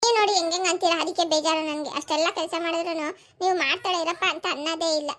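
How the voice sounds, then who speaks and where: A woman voicing an overworked, sharp-tongued village housewife and mother in a family cartoon, scolding and complaining with a rural southern Karnataka accent. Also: very high-pitched, steady tone, very fast. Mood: hurt, complaining. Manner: scolding, complaining, rhetorical questions.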